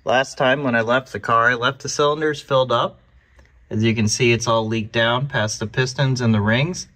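Guy talking thru a car repair, calm plain explaining, mid-pitched voice. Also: moderate pace. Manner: calm, matter-of-fact explaining. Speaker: host of a car restoration channel. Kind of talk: voice-over narration of a repair.

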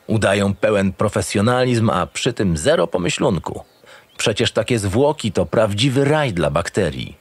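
An actor playing a gruff, cynical and experienced homicide detective in an audio drama, curt and impatient. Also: male voice, lowish voice, fairly bright tone, exaggerated voice. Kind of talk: audio drama acting with noir narration.

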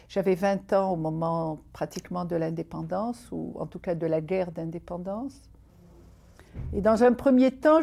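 Measured Algerian-born woman writer, mellow voice. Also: medium pitch. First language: Arabic. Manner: thoughtful, measured, many pauses and restarts. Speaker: writer interviewed. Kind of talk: reflective interview talk. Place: indoor room by a window. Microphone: unseen, seated interview framing.

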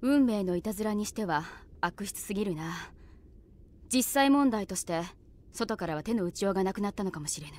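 A grave girl leader, acted by a woman, speaking in formal, clipped commands at a mid pitch. She sounds composed and in charge, with faint music under her. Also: animated, fairly quick, a little mellow, close to an everyday voice. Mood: stern. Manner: formal, authoritative. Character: stern commanding girl, old-fashioned stiff speech.

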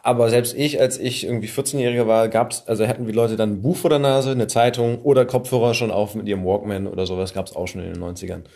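A man's voice: on the low side, steady tone, fast talker, gravelly, hardly any pauses, mellow. A man in his thirties hosting a podcast, chatting casually with a guest. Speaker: podcast host. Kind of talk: casual conversational interviewing.

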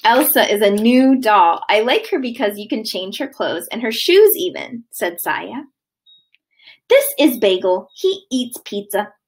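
A woman in her thirties, a kindergarten teacher, reading a children's book aloud with expressive, sing-song delivery. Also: a little high, a little slow, husky. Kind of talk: read-aloud of a children's book to young kids. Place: living room at home, indoors.

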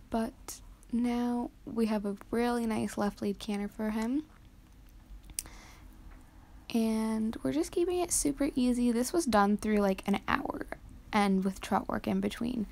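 A teenage girl from Canada talking softly over her riding footage, explaining each step with frequent hesitant ums. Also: on the high side, gravelly. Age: teens. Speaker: vlogger.

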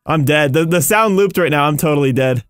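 A man's voice: a little high, a little fast, clear, resonant tone, no echo, clean studio sound, faint music behind. A man in his twenties reacting casually and self-deprecatingly to a game. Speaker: gamer.

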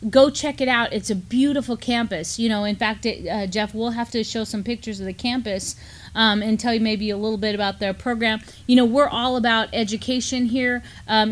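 A middle-aged woman with a fairly high voice co-hosts a news chat from a studio desk. She talks informally and conversationally, stringing short phrases together as she goes. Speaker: morning show co-host. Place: indoor studio desk in front of a backdrop.

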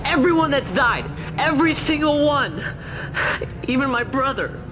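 A man's voice: very high voice, lively intonation, normal speed, over music. An actor playing a blunt, hot-headed, grieving teenage boy in a video game. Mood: furious, anguished. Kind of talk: video game cutscene acting.

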